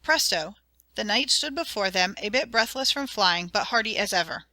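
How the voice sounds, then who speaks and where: A woman reading a children's audiobook as narrator, in an expressive, dramatic and clearly enunciated storytelling style. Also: mid-range voice, moderate pace, no echo.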